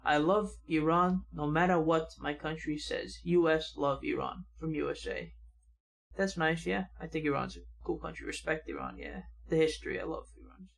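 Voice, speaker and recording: A young man hosting a reaction channel, casually reading viewer comments aloud and adding quick remarks of his own. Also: fairly high pitch, fairly even intonation, creaky. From United States.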